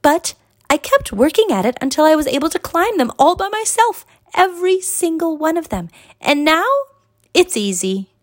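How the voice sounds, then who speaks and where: A woman's voice: sits high, big pitch swings, moderate pace, dry recording, clean studio sound, pitched above the performer's own voice. A woman voicing an eager, earnest young girl in a children's story. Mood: proud, animated.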